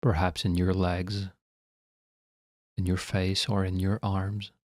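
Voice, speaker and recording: A man leading a guided meditation as a guide, speaking in a calm, measured, instructional way with long pauses. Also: deep voice, dry recording, clean studio sound.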